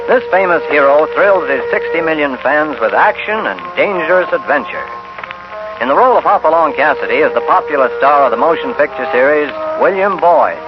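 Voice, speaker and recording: A man's voice: fairly high pitch, animated, brisk. A man narrating a 1950s radio western as its announcer, in a dramatic, emphatic scripted style. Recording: dull vintage radio broadcast with music. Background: music.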